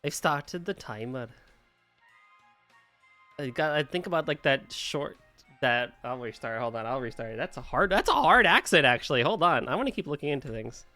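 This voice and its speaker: American guy streamer, bright edge, loose and jokey, music low behind. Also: very animated, moderate pace. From United States. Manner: rambling, laughs easily, swears. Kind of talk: casual live-stream reaction.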